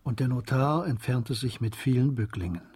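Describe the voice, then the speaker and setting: An actor as the storyteller of a German radio drama, reading scripted literary narration in a dark, husky voice. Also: male voice, medium pitch, normal speed, close to an everyday voice. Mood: measured narration. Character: storyteller narrating a classic adventure tale.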